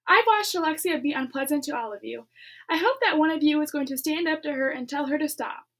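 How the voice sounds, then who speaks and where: A woman's voice: sits high, fairly quick, a bit bright. A woman voicing a firm but caring male schoolteacher who lectures his students steadily and earnestly in a storybook reading.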